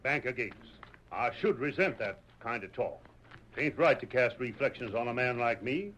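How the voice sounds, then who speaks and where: A man's voice: medium pitch, lively intonation, moderate pace, a bit bright, natural voice. A wily, skinny western card player, acted by a man, folksy and full of mock innocence. His male voice leaps up and down, on a noisy vintage radio recording. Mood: mock innocent. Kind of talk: old-time radio western acting.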